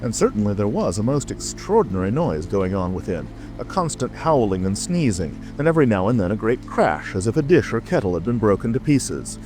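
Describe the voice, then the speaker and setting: A man's voice: fairly low pitch, very animated, brisk, hardly any pauses, over music. A man narrating a classic storybook as a scripted audiobook.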